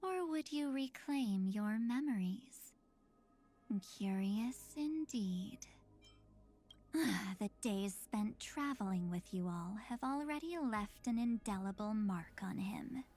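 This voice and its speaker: An actress playing a wistful, enigmatic young woman made of memory in a video game, speaking theatrically with breathy sighing phrases. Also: medium pitch, animated, slow, warm tone. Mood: wistful, mysterious. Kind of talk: scripted game character voicelines.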